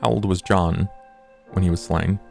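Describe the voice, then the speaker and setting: A man acts out a courteous schemer with a low, heavily creaking voice. He talks business in formal, measured phrases, suave and wordy, in a roleplay podcast. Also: fairly even intonation, darkish tone, soft background music. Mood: probing. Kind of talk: in-character tabletop roleplay.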